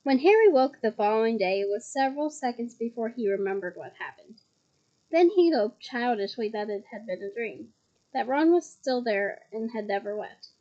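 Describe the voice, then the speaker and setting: A slightly hoarse woman reading a novel aloud at home, breaking off for chatty, giggly asides. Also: fairly high pitch, animated, moderate pace, muffled recording. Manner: chatty, giggly asides between read passages. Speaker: reader of a novel. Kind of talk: casual read-aloud with asides. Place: at home on her bed.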